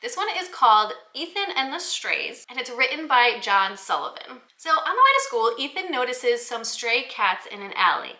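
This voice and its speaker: A woman's voice: on the high side, very animated, normal speed, few pauses, bright, forceful tone, reverberant. A woman hosting a review channel in an enthusiastic, explanatory way, speaking directly to viewers in an upbeat presenting style. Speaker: host of a children's book review channel. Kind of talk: upbeat scripted vlog presentation to teachers.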